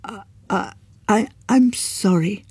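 A woman voicing a nervous young male scholar who stutters and wavers softly, in a storybook reading. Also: fairly high pitch, slow pace, slightly rough, no room sound, full character voice. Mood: hesitant. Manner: stuttering, hesitant, soft.

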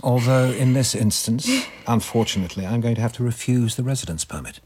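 A man's voice: medium pitch, brisk, a little mellow, slight background noise, natural voice. An actor in a radio drama playing a cold, officious male Soviet district inspector, formal and condescending. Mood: cool, officious.

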